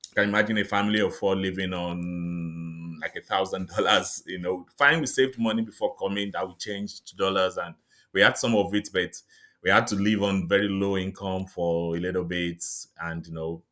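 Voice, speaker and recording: Nigerian man, low bright voice. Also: fairly even intonation. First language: Yoruba. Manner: measured and reflective, laughs lightly between thoughts. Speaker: guest, psychiatrist and author. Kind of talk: interview conversation.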